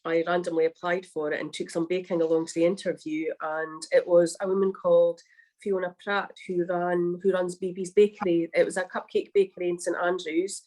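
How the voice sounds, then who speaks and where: A woman's voice: fairly low pitch, flat delivery, brisk, a little mellow. A Scottish woman, a cookbook author and baker, chatting on a video call with frequent laughs. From Scotland. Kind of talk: casual chat on a livestream.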